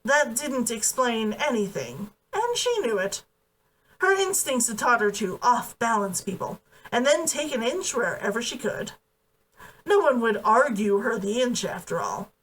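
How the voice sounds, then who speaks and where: A woman giving an expressive read-aloud of a novel as its narrator. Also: fairly high pitch, a little slow. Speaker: author reading own book aloud. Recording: distant from the mic.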